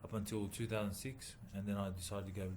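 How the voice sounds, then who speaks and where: A man from New Zealand, a boxer, answering interview questions haltingly with many pauses and fillers. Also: on the low side, little pitch movement, slight background noise. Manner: halting, many ums and uhs, soft-spoken. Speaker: boxer and former rugby league player, guest. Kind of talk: casual interview.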